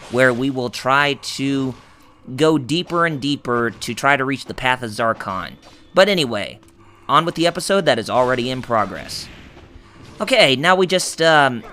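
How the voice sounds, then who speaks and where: Hoarse, rambling gameplay host. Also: male voice, medium pitch, sharp tone. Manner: rambling, full of fillers and restarts, jokey. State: hoarse, raspy voice. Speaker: let's play host. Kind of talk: gameplay commentary.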